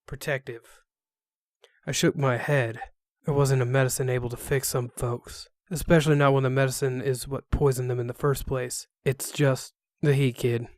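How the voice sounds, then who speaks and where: A man's voice: mid-range voice, little pitch movement, moderate pace, dry recording, clean studio sound. A man reading a first-person story as narrator, in the role of a ronin, with a wry, sarcastic manner. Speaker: narrator reading an interactive novel. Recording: music bed under the voice.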